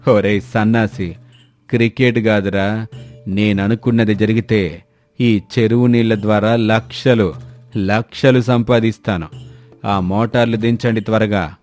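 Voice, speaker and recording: A man's voice: on the low side, fairly even intonation, unhurried, a little mellow, soft background music, natural voice. A man voicing an arrogant, greedy contractor who threatens and orders people around in a moral story. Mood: greedy, bossy. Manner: commanding, insulting. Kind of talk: acted storybook dialogue.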